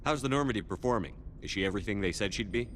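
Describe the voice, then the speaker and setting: A man acting a steady, approachable military commander in a science fiction video game, direct and composed. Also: lowish voice, racing, slight background noise, natural voice. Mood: composed, friendly.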